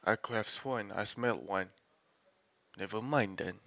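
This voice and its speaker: A man acting a gruff, menacing man-eating giant with a gravelly voice in an amateur radio drama. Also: lowish voice, measured pace, a bit bright, no echo, dull audio. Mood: grumbling.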